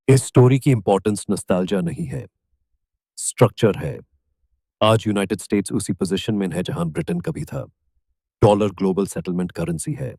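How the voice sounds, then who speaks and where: A man with an Indian accent reading scripted documentary narration in measured, emphatic short phrases. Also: on the low side, vocal fry, warm tone, husky, dry recording.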